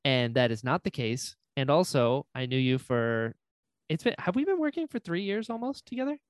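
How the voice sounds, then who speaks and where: A man's voice: mid-range voice, very animated, normal speed, no room sound, clean studio sound. A man of thirty with an American accent, chatting casually as a podcast host and interviewer. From North Carolina and Florida, US. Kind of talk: casual chat.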